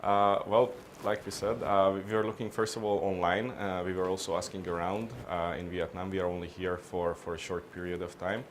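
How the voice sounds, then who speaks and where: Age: unknown. Sex: man. Pitch: deep. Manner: measured, hesitant, restarts often. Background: faint ambience. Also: level tone, a little hiss or hum. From Hungary. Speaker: interviewee, business consultant. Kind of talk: on-camera business interview.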